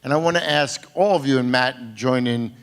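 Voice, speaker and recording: A man's voice: medium pitch, moderate pace, clean tone. A man from Brooklyn moderating a panel, asking long, searching questions while hunting for words. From Brooklyn, USA.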